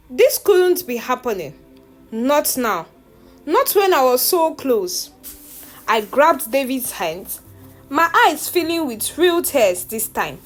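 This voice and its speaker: A woman narrating a scripted first-person story in a theatrical, suspenseful manner. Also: high voice.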